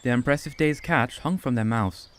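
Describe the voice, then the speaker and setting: A man giving steady, scripted storybook narration for a fan-made audiobook. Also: medium pitch, moderate pace, clean tone.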